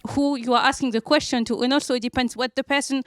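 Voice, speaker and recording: A woman from France speaks in a fairly high voice, laying out her point step by step. The sound is close and dry, with no room ring. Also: steady tone, smooth, dry recording. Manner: careful, measured explaining. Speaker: audience member. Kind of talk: studio discussion.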